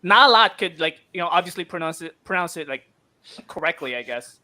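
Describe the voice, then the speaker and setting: High bright-voiced young man from the Philippines. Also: lively intonation, fairly quick. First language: Filipino language. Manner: laughing, hesitant, many fillers. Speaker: co-host. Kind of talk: casual chat.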